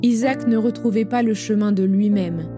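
A woman narrates an audio drama in a measured, solemn way. Her voice sits at a middle pitch, and clear piano music plays beneath her. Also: fairly even intonation.

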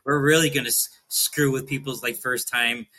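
A man chats playfully on a podcast and rambles a little as he jokes. His voice has a bright, forward edge and sits in a middle range. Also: mid-range voice, steady tone, moderate pace. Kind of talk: casual chat.

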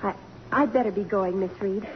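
A woman's voice: medium pitch, moderate pace, close to an everyday voice. An actress playing an earnest, admiring young college girl in 1950s radio drama, polite, hesitant and eager. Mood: earnest, uneasy. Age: young adult. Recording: dull, noisy, reverberant vintage radio recording.

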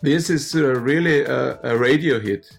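A middle-aged man from Austria, a musician with German as his first language, chatting as an interview guest, halting and restarting often. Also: mid-range voice, creaky, slightly rough, soft background music. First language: Styrian Austrian German. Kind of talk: casual interview.

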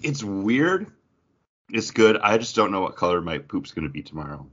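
A man with a very low voice chats casually as a podcast co-host, jokey and enthusiastic. His intonation swings widely, and the audio is slightly dull and band-limited. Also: big pitch swings, normal speed, a bit bright, slight background noise. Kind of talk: casual chat.

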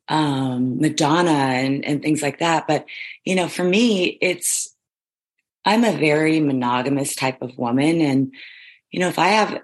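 A middle-aged woman with a low, husky voice, chatting as an interview guest in a rambling, emphatic, self-affirming way. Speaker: reality show contestant guest. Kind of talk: casual interview chat.

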